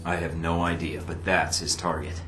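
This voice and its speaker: A man's voice: low voice, little pitch movement, moderate pace, natural voice. A man playing a wry, guarded, battle-weary secret agent in a video game, speaking in a dry, laconic way. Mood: focused. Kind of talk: video game cutscene dialogue. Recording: roomy sound with music behind.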